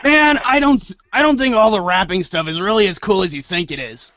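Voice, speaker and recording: A woman's voice: mid-range voice, animated, a little fast, a bit bright, no echo, dull audio. A woman acting in a casual comic table read, hesitant and a bit exasperated, breaking off for asides. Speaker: actor in a reader's theater show.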